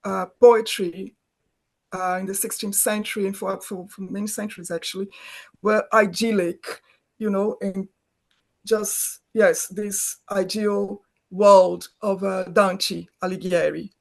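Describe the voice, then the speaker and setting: A woman's voice: mid-range voice, level tone, measured pace, vocal fry. A middle-aged woman from Brazil, a native Portuguese speaker, talking as a filmmaker guest in an interview, often restarting her phrases as she searches for words. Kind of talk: conversational interview.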